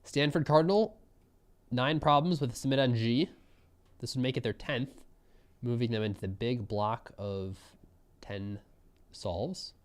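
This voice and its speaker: A young man giving relaxed, musing live commentary on a programming contest, often trailing off mid-thought. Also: mid-range voice, a little slow, long pauses.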